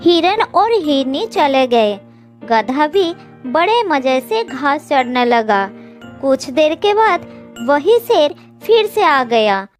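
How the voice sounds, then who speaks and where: A woman's voice: high-pitched, big pitch swings, takes it slowly. A woman reading scripted narration for a children's story. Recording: music under the voice.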